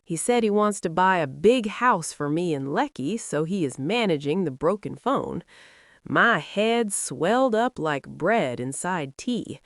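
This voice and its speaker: Nigerian lady narrating a folktale, lively pitch, dramatic, dry close sound. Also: measured pace, no echo. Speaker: storyteller. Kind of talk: scripted first-person folktale narration.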